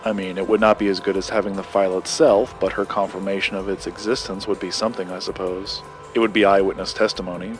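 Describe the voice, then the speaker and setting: Man playing a low-voiced, formal collector. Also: level tone, faint music behind, natural voice. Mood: measured, reserved. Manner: formal, precise, measured wording. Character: formal, guarded male paranormal collector and researcher. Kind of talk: scripted audio drama dialogue.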